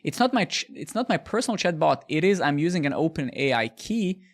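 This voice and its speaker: Gravelly man. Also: fairly high pitch, big pitch swings, brisk. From Bosnia. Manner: chatty, restarts phrases, answers viewers' questions. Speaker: live coding streamer. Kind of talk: casual live-stream chat while coding.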